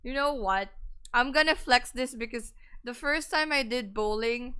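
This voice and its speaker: A young woman with a raspy voice chatting casually with her viewers on a gaming stream, greeting chat and laughing often. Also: a little high, unhurried, sharp tone.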